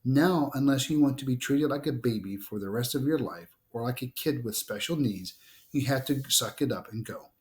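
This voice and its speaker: A man's voice: mid-range voice, animated, normal speed, a little mellow, natural voice. A man reading the part of a caring, exasperated teenage older sister in a storybook, insistent and reasoning. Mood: persuasive. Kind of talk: storybook read-aloud dialogue.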